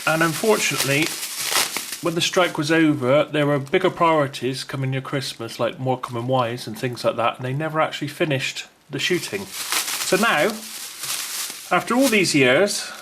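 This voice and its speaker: A man's voice: on the high side, moderate pace, noisy background. A man presenting an unboxing video in a casual, unscripted way, chatty and rambling as he thinks aloud.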